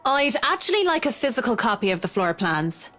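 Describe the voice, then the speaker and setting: A polite young professional designer from a visual novel game. She speaks in a fairly high, obliging voice. Light music plays behind her. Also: sing-song, brisk, a bit bright. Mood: businesslike. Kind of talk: video game voice acting.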